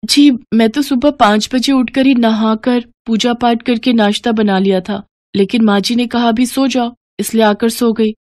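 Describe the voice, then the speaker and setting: A woman's voice: fairly high pitch, steady tone, normal speed, darkish tone, dry recording, natural voice. Woman voicing a sweet, naive young bride, dry sound. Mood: polite. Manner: polite, earnest, plaintive. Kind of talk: Hindi cartoon story voice acting.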